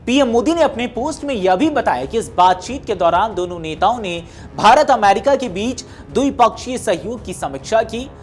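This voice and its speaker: A woman reading scripted news narration in a formal, measured newsreader delivery. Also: fairly high pitch, animated, darkish tone. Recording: music behind the voice. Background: background music.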